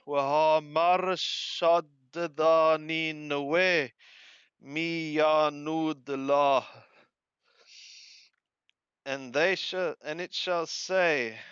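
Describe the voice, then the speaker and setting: A man reading scripture aloud and translating it phrase by phrase, halting and deliberate, correcting his wording as he goes. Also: on the high side, fairly bright tone, clear, resonant tone, no echo. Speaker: amateur Bible reader and translator. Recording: dull lo-fi close recording.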